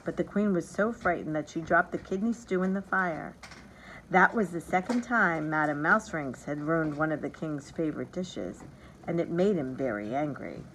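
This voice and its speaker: A woman narrating a storybook aloud in an expressive, dramatic and deliberate way. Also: low voice, a little hiss or hum, low-quality recording. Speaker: teacher reading a storybook aloud.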